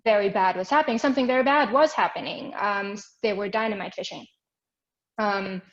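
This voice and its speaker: A woman's voice: mid-range voice, fairly quick, muffled recording. A young woman from Switzerland, guest on a webinar, telling her story casually with many ums and restarts over a video call. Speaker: guest, ocean art nonprofit.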